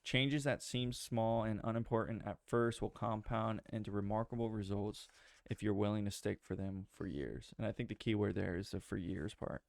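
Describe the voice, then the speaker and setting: Laid-back male book-club member, low gravelly voice, trails off with ums. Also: normal speed, few pauses, dry recording, clean studio sound. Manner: relaxed, hesitant with ums. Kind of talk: casual book discussion.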